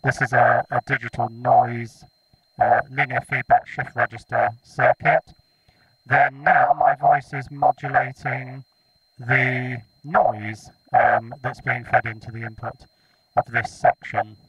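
A man's voice: medium pitch, level tone, slow pace, a bit bright, distant mic, muffled recording. A man casually demonstrating electronics, his voice processed through a robotic vocoder effect. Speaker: electronics hobbyist presenter.

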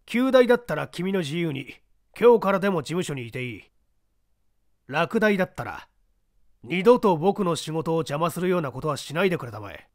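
A composed middle-aged gentleman, as a man reads him in a detective story audiobook, laying out a puzzle in measured, lecturing tones. His pitch rises and falls in wide, lively swings. The recording is close and dry. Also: dry recording. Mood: stern. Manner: measured, lecturing, poses pointed questions.

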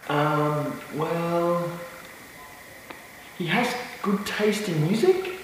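Woman playing a friend being interviewed, low voice, hesitant, big echoey room far from the mic. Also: very slow. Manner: hesitant, plain conversational. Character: ordinary female friend interviewed on camera. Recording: echoey distant room with noise.

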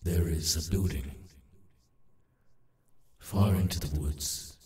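A man voicing a halting, urgent demon in an ASMR roleplay, repeating himself in broken phrases and insisting he is harmless. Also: a bass voice, a little mellow, roomy sound, distant mic. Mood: grave, urgent.

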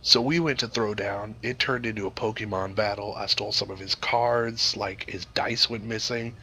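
A man's voice: fairly low pitch, steady tone, normal speed, noisy background. A man improvising a crude comic story to a group, swearing freely and rambling in a mock-serious way. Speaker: storyteller, host.

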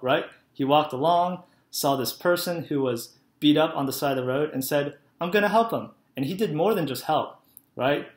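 Young man telling kids a Bible story. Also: a little high. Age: young adult. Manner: friendly, simple storytelling, asks "right?" to kids. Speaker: Sunday school teacher. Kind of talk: children's sermon. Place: living room at home, indoors. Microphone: laptop webcam, head and shoulders. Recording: echoey room.

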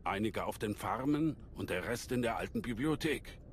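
A man as a calm old alien master, low voice. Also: a little hiss or hum, lightly acted. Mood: grave. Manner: measured, formal, thoughtful. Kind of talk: video game cutscene acting.

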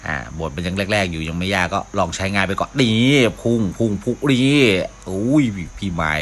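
Deep-voiced man doing casual game commentary. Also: expressive, moderate pace. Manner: mouths sound effects, talks to himself while playing. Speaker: gaming video host. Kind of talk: casual live gameplay commentary.

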